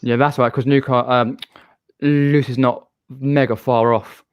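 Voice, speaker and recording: A man's voice: medium pitch, little pitch movement, unhurried, clean tone, no echo, muffled recording. A man with a southern English accent hosting a casual live-stream chat, rambling and chatty with frequent restarts. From southern England.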